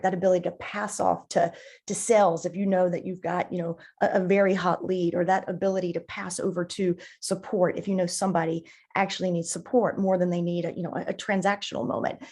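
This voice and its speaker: A woman giving conversational, enthusiastic explanations as an interview guest on a marketing podcast, haltingly repeating words as she thinks. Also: lowish voice, little pitch movement, darkish tone.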